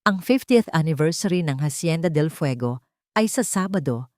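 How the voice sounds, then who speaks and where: Woman acting determined, vengeful mother with sweeping pitch. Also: expressive, darkish tone, no echo, studio quality. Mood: persuasive. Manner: firm, dramatic, deliberate.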